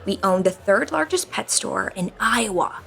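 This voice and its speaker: A woman's voice: mid-range voice, animated, normal speed, gravelly, noisy background. A woman acting a mischievous, playful prankster in a podcast sitcom.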